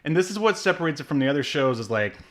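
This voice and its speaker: A man's voice: on the high side, sing-song, rapid-fire. A man co-hosting a podcast, rambling along with lots of ums and likes and laughing often. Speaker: podcast co-host. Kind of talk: casual chat.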